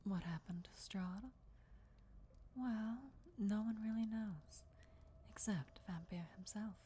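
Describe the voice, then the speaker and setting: A woman voicing an ancient, loyal vampire countess in a formal, deferential, measured whisper for fantasy ASMR roleplay. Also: mid-range voice, mellow, a little hiss or hum, lightly acted. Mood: solemn, secretive. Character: ancient female vampire countess, devoted herald's speaker, formal and reverent.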